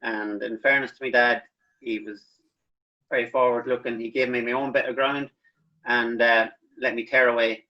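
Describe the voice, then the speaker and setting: A man's voice: medium pitch, flat delivery, normal speed. A man in his forties, a dairy farmer guest, telling his story in a frank, self-deprecating way. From Northern Ireland. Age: 40s. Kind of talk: casual interview storytelling. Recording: dull lo-fi line.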